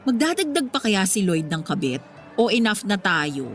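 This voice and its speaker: An actress playing a level-headed, wry mistress in a Filipino radio drama, talking in a conversational, pragmatic way. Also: female voice, medium pitch, very animated, moderate pace, soft background music, lightly acted. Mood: teasing, pragmatic.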